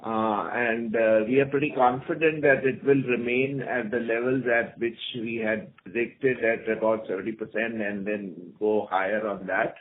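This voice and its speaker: A man with an Indian accent, a senior executive answering on an earnings call in a halting way, repeating words. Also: mid-range voice, fairly even intonation. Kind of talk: answering analyst questions on an earnings conference call. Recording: phone-like conference line with faint noise.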